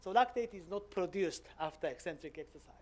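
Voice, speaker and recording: A man in his fifties from Japan, speaking English with a Japanese accent, giving a conference lecture with many "uh" pauses. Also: high-pitched, expressive, darkish tone. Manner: hesitant, frequent "uh" fillers, simple non-native grammar. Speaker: visiting professor giving a lecture.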